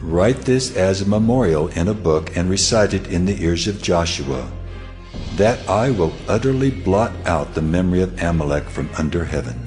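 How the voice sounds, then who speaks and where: Man voicing a very deep, commanding God. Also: lively intonation, normal speed, darkish tone. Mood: solemn decree. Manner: grave, authoritative, declamatory. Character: the Lord God, solemn commanding male deity. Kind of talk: dramatized scripture reading. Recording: cavernous long reverb, music underneath.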